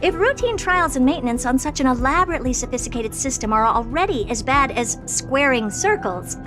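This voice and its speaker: A woman's voice: high-pitched, animated, a little fast, music in the background. A woman voicing a brilliant, haughty scientist in a video game, explaining things in a curt, imperious and matter-of-fact way. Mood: cool, lecturing. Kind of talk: English video game voice acting.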